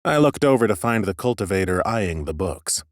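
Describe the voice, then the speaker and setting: Guy narrating a fantasy audiobook, lowish voice, lots of expression, really dry sound. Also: lively intonation, normal speed, dry recording. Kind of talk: expressive first-person scripted narration.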